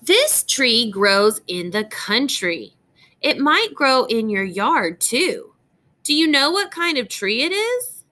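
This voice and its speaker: A woman teacher reading a picture book aloud to young children, expressive and clearly enunciated, pausing to explain and ask questions. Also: a little high.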